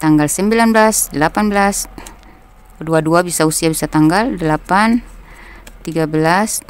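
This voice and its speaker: A woman with a low, deep-set voice and a North Maluku accent talks through a tarot reading. Her delivery is gentle and unhurried, calm and reassuring toward the listener. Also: clear, resonant tone. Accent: North Maluku Indonesian. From North Maluku, Indonesia. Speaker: tarot reader. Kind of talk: casual tarot reading monologue.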